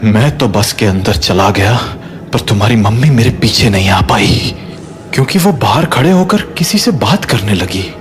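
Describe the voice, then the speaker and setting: Low-voiced, protective, stern father played by a man. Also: lively intonation, moderate pace, lightly acted. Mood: somber recounting. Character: protective, stern father hushing and explaining to his son. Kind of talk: Hindi horror audio drama acting. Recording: music under the voice.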